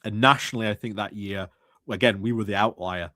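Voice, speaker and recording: A man's voice: on the low side, fairly even intonation, a little fast, bright, forceful tone, dry recording, clean studio sound. A man hosting a stream, giving rambling, sardonic commentary on local politics with frequent restarts and chuckles. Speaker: stream host commenting on council meetings.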